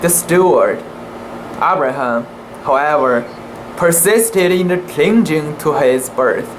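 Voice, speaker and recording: An amateur narrator giving a deliberate, halting dramatic reading of a classic sea story in the first person, stumbling over words now and then. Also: mid-range voice, animated, a little hiss or hum, rough recording.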